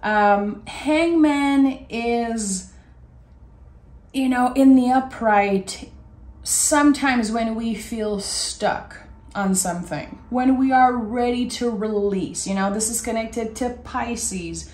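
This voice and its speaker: A woman with a raspy voice giving a gentle, soothing tarot reading. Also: on the high side, takes it slowly, roomy sound. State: raspy voice today. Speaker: tarot reader.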